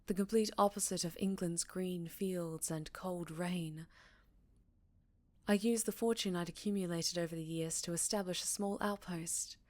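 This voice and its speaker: A woman playing a centuries-old vampire leader, speaking softly and breathily in an intimate ASMR roleplay. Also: lowish voice, fairly even intonation, no room sound, close to an everyday voice. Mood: brooding, proud. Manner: soft, breathy, measured, with whispered phrases. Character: centuries-old female vampire town leader, secretive, wistful, tender.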